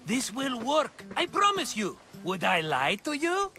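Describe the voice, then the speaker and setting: An actor playing a scheming, flattering, tight-fisted merchant in a video game, with a theatrical, wheedling manner. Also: male voice, very high-pitched, measured pace, over music, heavily acted. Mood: coaxing, teasing. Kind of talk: video game cutscene acting.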